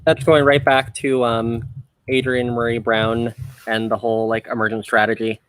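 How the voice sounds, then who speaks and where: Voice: medium pitch, level tone, normal speed, a bit bright, a little hiss or hum, low-quality recording. A podcast host talking casually in an earnest, discursive way, rephrasing ideas along the way.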